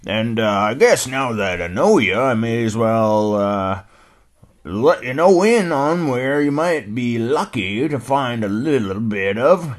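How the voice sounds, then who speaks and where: A man voicing a kindly, slow old blind male hound dog in a bedtime story, drawling and hesitant. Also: mid-range voice, animated. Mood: friendly.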